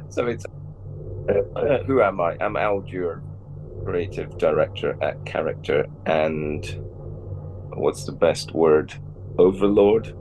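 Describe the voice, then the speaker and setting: A low-voiced man whose cold leaves his voice gravelly and rough. He talks in a relaxed, rambling way and often corrects himself mid-sentence. A steady hiss of noise sits under the recording. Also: poor audio. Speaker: toy company creative director, guest. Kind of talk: casual interview chat.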